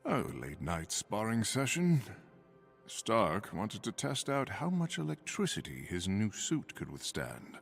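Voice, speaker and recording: A man's voice: fairly low pitch, wildly swooping pitch, unhurried, creaky, breathy edge, faint music behind, exaggerated voice. A man voicing a hearty, gallant warrior god prince in a video game, with grand, courtly phrasing. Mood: jovial. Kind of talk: video game voice acting, dramatic dialogue.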